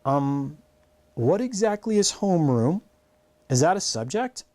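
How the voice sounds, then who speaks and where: A man's voice: a little high, sing-song, a little slow, a little mellow. A man voicing a shy, nervous new schoolboy who mumbles hesitantly in a storybook read-aloud.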